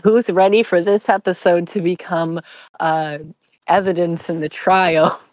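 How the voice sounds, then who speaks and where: A woman chats as a guest on a casual podcast. Her voice sits a little low and sounds very dry, and she talks haltingly with a self-deprecating streak. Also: fairly even intonation, darkish tone, no echo, poor audio. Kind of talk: casual group podcast chat.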